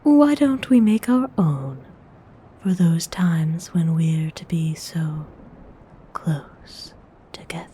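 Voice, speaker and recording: A woman voicing a gentle, courteous vampire noblewoman in an ASMR roleplay, whispering with breathy, husky, tender formality. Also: deep voice, slight background noise. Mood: warm, attentive. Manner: slow, hushed, tenderly formal.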